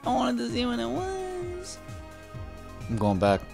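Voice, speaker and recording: Female let's-play gamer, unhurried casual chat, very animated intonation, game music underneath. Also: fairly high pitch, vocal fry. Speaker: gamer playing on camera-less let's play. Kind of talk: casual gaming chat and reactions.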